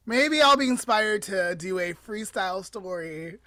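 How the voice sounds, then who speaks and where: A woman's voice: mid-range voice, lively intonation, takes it slowly, pushed and bright, no echo, studio quality. A woman co-hosting a podcast in casual chat, with a giggly, jokey, informal manner. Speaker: podcast co-host.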